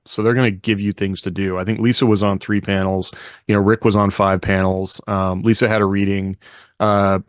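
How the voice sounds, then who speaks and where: A man's voice: fairly low pitch, dry recording, studio quality. A man co-hosting a podcast in casual chat, talking in a loose, rambling, conversational way. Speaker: podcast co-host.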